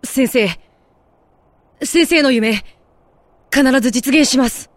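A gentle, earnest young man played by a woman in an audio drama, soft-spoken and polite. The voice is fairly high for the role, very slow and deliberate, recorded dry and close. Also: dry recording. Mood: solemn, tender.

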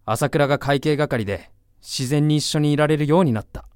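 An actor playing an insecure, earnest young college student in love, with hesitant, emotional boyish speech in a Japanese voice drama. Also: male voice, fairly high pitch, normal speed, darkish tone, clean tone, dry recording, studio quality, lightly acted. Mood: proud, fond. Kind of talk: Japanese audio drama acting.

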